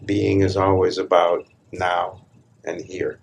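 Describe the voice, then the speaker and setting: A man leading a live guided meditation, speaking calmly and deliberately in short phrases with long pauses between them. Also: low voice, gravelly, husky. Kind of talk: live guided meditation, slow hushed instruction.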